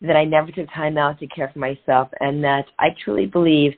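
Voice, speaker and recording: An earnest, middle-aged woman tells her own story in short, reflective phrases with pauses. She comes through a thin, phone-like line that cuts away the fullness of her voice. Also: deep voice, steady tone. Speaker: guest, author and transformational coach. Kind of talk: candid personal story in a podcast interview.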